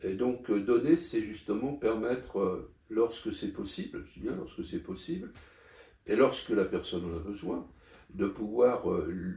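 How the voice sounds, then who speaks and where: Older man, deep voice, hesitant, many fillers, echoey distant recording. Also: lively intonation, normal speed, warm tone. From Paris, France. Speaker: interview guest. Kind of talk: conversational interview. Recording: echoey room, distant mic.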